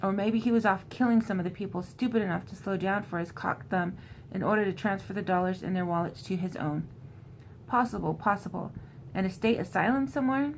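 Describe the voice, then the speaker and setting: Woman doing a casual book recap n reading bits out, normal pitch, some background noise. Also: fairly quick, poor audio. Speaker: host recapping a horror novel. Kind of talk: casual book recap with passages read aloud.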